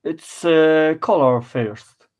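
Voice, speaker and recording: A man with a Polish accent hosting a craft livestream, speaking halting English with many fillers. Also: a little high. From Poland. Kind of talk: casual livestream chat.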